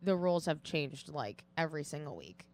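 A woman's voice: low-pitched, very animated, moderate pace, darkish tone. A woman from the Boston area hosting a casual podcast chat, laughing a lot and saying "dude" often. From Greater Boston area.

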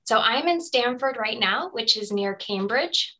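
A woman's voice: on the high side, expressive, measured pace, bright, forceful tone. A woman chatting casually on an online call, friendly and conversational. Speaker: chat participant. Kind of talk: casual chat. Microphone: video call.

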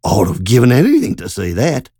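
Reader doing Don, a gruff old bloke. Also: male voice, medium pitch, huge pitch swings, brisk, mellow, dry recording. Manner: short, dry, understated replies. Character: gruff old country man, quiet and dry.

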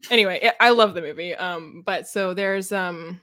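A woman's voice: on the low side, normal speed, dry recording. Woman chatting casually, slangy, dry close sound. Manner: slangy, animated retelling with acted-out dialogue. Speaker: podcast co-host discussing books. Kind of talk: casual book chat.

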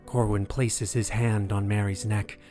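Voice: low-pitched, flat delivery, measured pace, music in the background. A narrator reading scripted scene descriptions for an audio drama.

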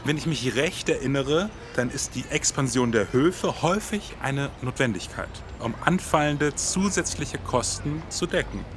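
An actor playing a formal, pompous detective in a satirical noir podcast drama, with stilted, theatrical phrasing. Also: male voice, medium pitch, lively intonation, normal speed, hardly any pauses, background noise, natural voice. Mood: formal, probing.

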